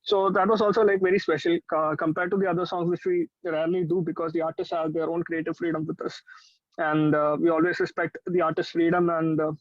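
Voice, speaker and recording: A man's voice: fairly high pitch, flat delivery, fairly quick, no echo. A man with an Indian accent, a musician in an interview, casually explaining how he works, with hesitant, conversational phrasing. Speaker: musician in a pop duo, interview guest. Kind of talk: casual interview chat, explaining a creative process. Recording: dull lo-fi online-call sound, dry.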